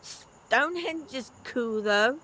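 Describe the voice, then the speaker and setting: A woman's voice: sits high, animated, slow pace, bright, forceful tone, heavily acted. A woman playing a curious, earnest young boy in an audio drama, asking questions in a hesitant way.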